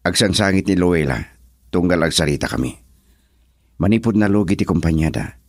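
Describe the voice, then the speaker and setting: An actor playing an earnest, devoted grown son and husband in a radio drama, solemn and measured. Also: male voice, deep voice, animated, dry recording, studio quality. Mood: troubled. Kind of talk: Ilocano radio drama acting.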